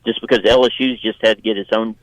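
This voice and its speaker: A man giving his take as a guest journalist in an unscripted sports radio interview, conversational and halting, restarting phrases as he goes. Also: lowish voice, flat delivery, dry recording, low-quality recording.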